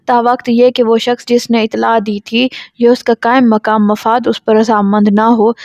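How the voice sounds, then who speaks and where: A woman's voice: a little high, darkish tone, no room sound, muffled recording. A woman with a Pakistani accent giving an explanatory law lecture, reading aloud and explaining in a didactic way.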